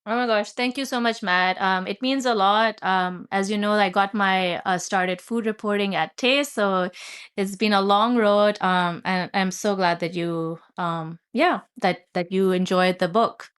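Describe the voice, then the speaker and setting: A woman in her forties from Pakistan, a guest author in a studio interview, speaking thoughtfully with many fillers and pauses. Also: medium pitch, steady tone, fairly bright tone, clean tone. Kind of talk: casual interview chat. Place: recording studio.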